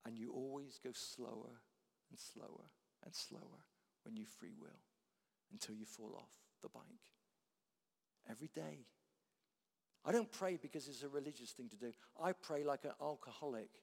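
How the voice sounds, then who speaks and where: A man preaching a sermon, swinging from loud declarations down to hushed, quiet lines. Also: mid-range voice, moderate pace, gravelly, long pauses. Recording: long hall reverb.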